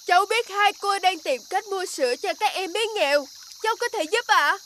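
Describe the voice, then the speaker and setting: A woman voicing a tiny, polite and brave little boy in an animated fairy tale. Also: very high-pitched, fairly quick, a little hiss or hum, full character voice. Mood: polite, eager.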